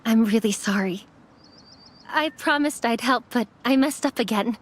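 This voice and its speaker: A woman's voice: on the high side, a little slow. A woman playing a young immortal apprentice mortician in an anime game, earnest and subdued. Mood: apologetic.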